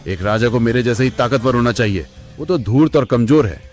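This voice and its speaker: A man's voice: medium pitch, animated, fairly quick, faint music behind, lightly acted. A man voicing a boastful, power-hungry wolf villain in a cartoon moral story, with a domineering manner. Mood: boastful, scornful. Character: boastful, power-hungry male wolf who claims to be king.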